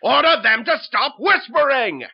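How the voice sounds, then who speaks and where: A tyrannical king, high and strained, shrieking his orders in an imperious, hysterical rant. It is dramatic storybook delivery, captured in dull, band-limited audio. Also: animated, a little slow, vocal fry, husky, full character voice. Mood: imperious, irritated.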